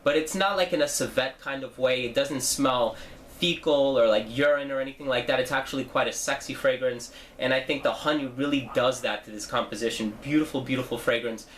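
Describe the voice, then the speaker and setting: A man's voice: medium pitch, a little fast, a bit bright, a little hiss or hum. A young man with a stuffy nose from a cold, hosting a casual fragrance review channel and talking in a chatty way with many fillers. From New Jersey. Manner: chatty, with frequent fillers and self-corrections.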